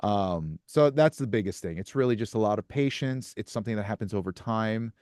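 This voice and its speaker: Thirties male guest, low and smooth, close dry mic, soft-spoken with halting restarts. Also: no echo. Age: 30s. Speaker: musician guest. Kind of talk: casual interview chat.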